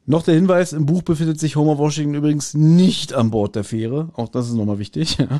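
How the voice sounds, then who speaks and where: A man's voice: a little high, a little fast, mellow. A man co-hosting a podcast, casually retelling and reviewing a story in a colloquial, opinionated way. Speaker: podcast co-host.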